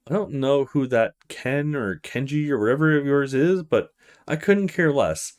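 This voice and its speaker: A man's voice: medium pitch, moderate pace, natural voice. A man voicing a cocky, aggressive young male street thug in a visual novel, with a taunting, menacing delivery. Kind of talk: visual novel character read-aloud.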